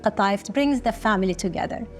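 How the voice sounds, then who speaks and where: A middle-aged woman from Kuwait, speaking slowly and earnestly with short pauses in a documentary interview. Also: medium pitch, soft background music. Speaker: business founder.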